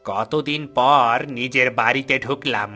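A woman's voice: very deep voice, unhurried, sharp tone, heavily acted. A woman voicing a scheming, scolding ghost minding a child in a cartoon, coaxing and theatrical. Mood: conspiratorial. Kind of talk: cartoon voice acting. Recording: faint music behind the voice.

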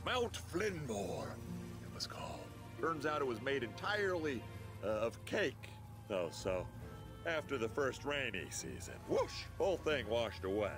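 A man's voice: medium pitch, huge pitch swings, measured pace, music in the background. A man playing a cocky, goofy, good-natured cartoon adventurer pilot, breezy and rambling. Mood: rambling, boastful.

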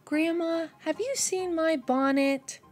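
A woman's voice: very high voice, takes it slowly, a little mellow, faint music behind, pitched above the performer's own voice. A woman voicing a worried, affectionate young girl in a picture-book story, with pleading, exaggerated questions.

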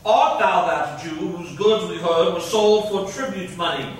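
An actor playing a proud, shrewd military commander in classical verse on stage, measured and declamatory. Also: male voice, high-pitched. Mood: imperious, curious. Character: proud conquering male commander, shrewd and imperious. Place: large indoor auditorium stage. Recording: echoey, noisy theatre from far off.

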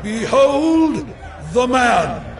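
A man playing a commanding, anguished Roman governor in a dramatized Bible reading, declaiming in drawn-out phrases. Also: very high-pitched, sing-song, a crowd in the background, background noise, heavily acted. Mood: proclaiming loudly. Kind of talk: dramatized scripture acting. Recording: music bed behind the voice.

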